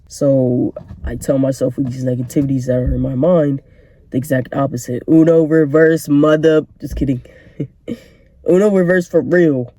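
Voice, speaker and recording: A man's voice: fairly high pitch, mellow, no echo. A congested young man hosting a casual solo podcast, talking earnestly and encouragingly with joking asides. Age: young adult. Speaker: podcast host.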